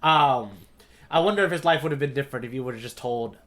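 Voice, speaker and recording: A man's voice: medium pitch, fast, fairly bright tone. A man co-hosting a podcast, recapping a story informally and checking in with his co-host as he goes. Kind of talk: casual conversational story recap.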